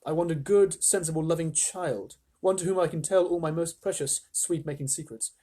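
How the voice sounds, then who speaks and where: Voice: mid-range voice, a little fast, warm tone, close to an everyday voice. A storyteller voicing an eccentric old male chocolate factory owner, emphatic and exclamatory, in a storybook reading. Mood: earnest.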